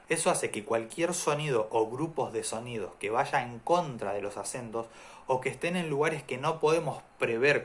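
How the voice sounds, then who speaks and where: Calm man explaining music theory. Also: mid-range voice, a little hiss or hum. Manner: calm, methodical explaining with examples. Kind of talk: explanatory video lesson.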